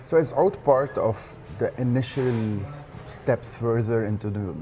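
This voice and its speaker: A man's voice: medium pitch, a little slow. A man hosting an interview, asking questions in a conversational way. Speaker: interviewer, host. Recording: faint background ambient noise.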